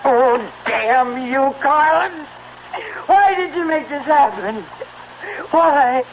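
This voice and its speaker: A woman's voice: sits high, lively intonation, full character voice. Anxious woman, muffled recording. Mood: anguished. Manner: earnest, emotional, halting. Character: anxious, devoted woman horse owner, prone to anguish. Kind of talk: radio drama acting and first-person narration. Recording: muffled, noisy old radio recording.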